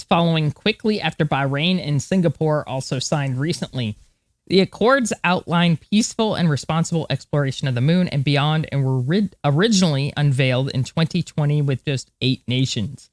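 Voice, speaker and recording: A woman's voice: low voice, no echo. A woman co-hosting a podcast, talking casually in a chatty, enthusiastic way. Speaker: podcast co-host. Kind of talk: casual space news podcast chat.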